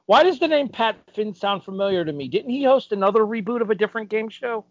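A man's voice: high voice, animated, fast talker, no room sound, highs cut off. A man bantering casually as a podcast panelist, cutting in with emphatic interjections.

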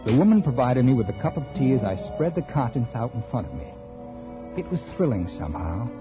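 A man's voice: mid-range voice, lightly acted. Male actor for scheming American writer-narrator, articulate and dramatic, wide-ranging delivery, muffled 1940s radio sound.

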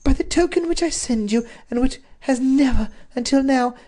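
A woman's voice: sits high, moderate pace, dark tone, pitched above the performer's own voice. A reader voicing a frightened captive duchess who pleads desperately with her husband. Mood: pleading, frantic.

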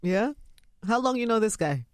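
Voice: medium pitch, animated, normal speed, dry recording, clean studio sound. Teasing, laughing radio host. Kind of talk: casual interview.

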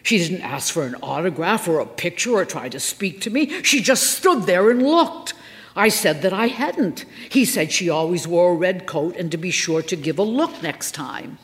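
A woman's voice: fairly low pitch, expressive, moderate pace, close to an everyday voice. A woman voicing an imperious, dramatic famous stage actress who talks in a sulky, indignant way. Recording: echoey reverberant room.

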